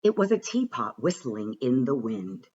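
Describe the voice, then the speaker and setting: A man reading a rhyming children's storybook aloud with a rhythmic, expressive and deliberate delivery. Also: mid-range voice, husky, lo-fi sound. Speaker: reader of a children's picture book.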